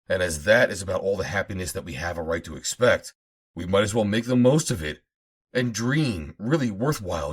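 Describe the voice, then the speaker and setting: Deep, husky male audiobook narrator. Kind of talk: dramatic scripted narration of an old adventure novel.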